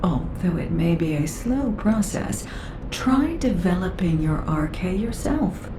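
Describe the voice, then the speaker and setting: An actress playing a shrewd female researcher in a game, speaking in a measured, probing way. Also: fairly low pitch, echoey room, noisy background. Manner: measured and probing, with lively inflection. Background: game music.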